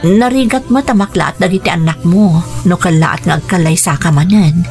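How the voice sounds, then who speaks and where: A woman playing a devoted, gentle young woman hoping to become a stepmother, in a radio drama. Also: fairly low pitch, moderate pace, soft background music, lightly acted. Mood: hesitant, devoted. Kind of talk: Ilocano radio drama acting.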